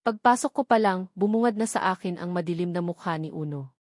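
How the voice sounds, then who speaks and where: A woman's voice: on the low side, fairly quick, darkish tone, no room sound, clean studio sound. A woman with a Filipino accent narrating a dramatic first-person novel. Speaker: audiobook narrator.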